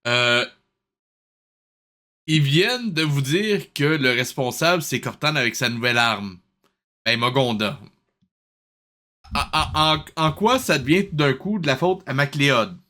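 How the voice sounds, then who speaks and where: A man's voice: mid-range voice, lively intonation, a little slow, long pauses, pushed and bright. A man giving sarcastic, mocking commentary over a cartoon he is reviewing.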